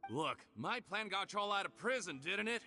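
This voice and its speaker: A man's voice: high-pitched, expressive, moderate pace, sharp tone, soft background music, exaggerated voice. A man playing a cynical, self-serving escaped convict in a video game, talking in a sardonic, condescending manner. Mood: defensive, cold. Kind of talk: video game dialogue acting.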